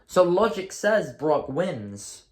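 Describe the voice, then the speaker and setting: Hoarse man chatting in an echoey room. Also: high-pitched, very animated, slow. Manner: thinking aloud, chatty and self-correcting. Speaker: host. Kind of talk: casual solo commentary.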